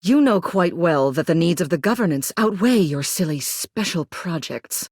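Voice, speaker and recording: A woman's voice: on the low side, animated, normal speed, darkish tone, no echo, clean studio sound. A woman playing a haughty, self-important, demanding character in a video game, imperious, formal and sarcastic. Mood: indignant.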